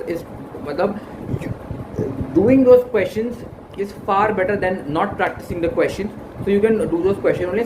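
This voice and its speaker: High-voiced Indian man, halting advice. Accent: Indian English. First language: Hindi. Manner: earnest, halting, frequent fillers and restarts. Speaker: exam-prep YouTuber. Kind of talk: casual study-advice talk to camera. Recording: echoey room with background noise.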